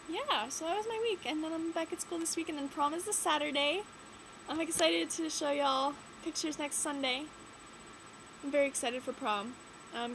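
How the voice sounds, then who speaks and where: A teenage girl, chatting casually in a vlog, rambling with lots of "like" and "um", her nose a bit stuffy from a cold. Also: on the high side. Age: late teens. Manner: rambling, many "like" and "um" fillers. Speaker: vlogger. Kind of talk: casual chat to camera. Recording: audible background noise.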